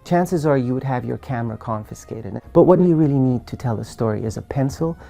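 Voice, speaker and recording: A man's voice: mid-range voice, lively intonation, fairly quick, vocal fry, dark tone, faint music behind. A man from Iran, an author speaking earnestly and emphatically in a documentary interview.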